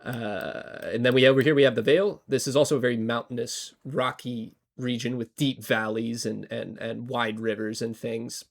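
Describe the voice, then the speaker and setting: A man co-hosting a podcast, slightly hoarse from a cold, talking casually with lots of fillers and restarts. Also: medium pitch, fairly even intonation, moderate pace, few pauses. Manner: rambling, many fillers and restarts. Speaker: podcast co-host. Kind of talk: casual history chat.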